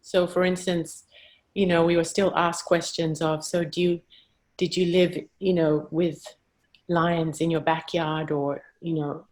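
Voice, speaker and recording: A woman from Zambia, a guest on a video call, speaking thoughtfully and pausing to search for words. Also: lowish voice, little pitch movement, darkish tone. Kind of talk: casual live-stream conversation.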